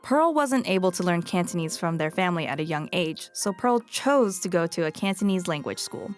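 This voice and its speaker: Low-voiced woman podcast host. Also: lively intonation, brisk, soft background music. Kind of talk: scripted narration and interview.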